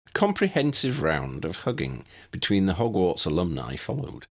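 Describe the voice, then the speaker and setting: A man's voice: deep voice, very animated, moderate pace. A man reading scripted story narration as an audiobook narrator.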